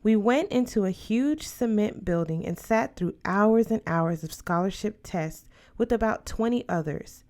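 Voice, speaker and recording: A woman's voice: mid-range voice, expressive, a little slow, few pauses, a little mellow. A woman reading a novel aloud as a scripted narration for young listeners. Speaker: audiobook reader.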